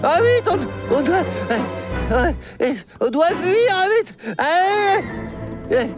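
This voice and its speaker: An actor voicing an excitable young dinosaur in a children's cartoon, dramatic and blustering, stammering when alarmed. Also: very high-pitched, takes it slowly, music in the background, exaggerated voice. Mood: panicked, bossy. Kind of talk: animated children's series voice acting.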